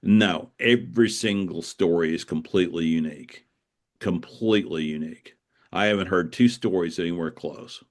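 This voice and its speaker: Deep-voiced man, earnest and halting. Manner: earnest, halting, repeats phrases for emphasis. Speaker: author being interviewed. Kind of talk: reflective conversational storytelling.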